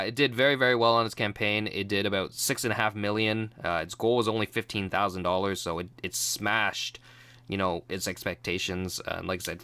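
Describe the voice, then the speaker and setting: A man's voice: low-pitched, pushed and bright, smooth. A young man with a cold, sounding nasal and congested, rambling casually through a product unboxing with many ums and restarts. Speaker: YouTube reviewer.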